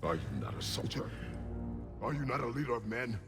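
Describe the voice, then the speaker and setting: An actor playing a stern, commanding Spartan warrior father in a video game, terse and gravelly. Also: mid-range voice, animated, fairly quick, music in the background, close to an everyday voice. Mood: stern, forceful. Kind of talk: dramatic video game acting.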